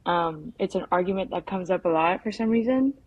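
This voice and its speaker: A young woman from the United States answering interview questions as a documentary filmmaker, in a casual, halting, informal way full of filler words. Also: fairly low pitch, breathy edge, no echo, muffled recording. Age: young adult.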